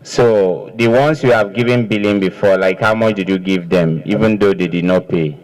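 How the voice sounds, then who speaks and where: A man's voice: fairly low pitch, moderate pace, darkish tone, poor audio. A man with a Nigerian accent questioning suspects formally as an official, probing and repeating answers back.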